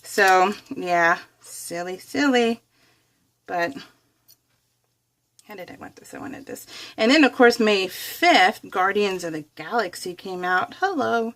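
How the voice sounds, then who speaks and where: A woman's voice: medium pitch, animated, slow, a bit bright. A middle-aged woman with an American accent chatting casually and in a rambling, exclamatory way, as a craft vlogger does while working. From United States.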